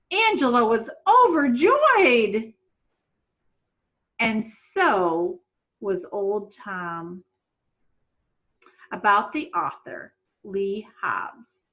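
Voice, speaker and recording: Animated, slow-paced woman reading a storybook. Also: fairly high pitch, rough recording. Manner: dramatic, deliberate storytelling. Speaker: children's picture-book reader. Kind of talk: expressive storybook read-aloud.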